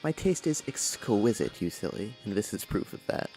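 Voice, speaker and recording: Man playing a teasing young woman, low and creaky. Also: big pitch swings, normal speed, darkish tone. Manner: playful, breezy teasing. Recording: clear guitar music behind. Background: guitar music.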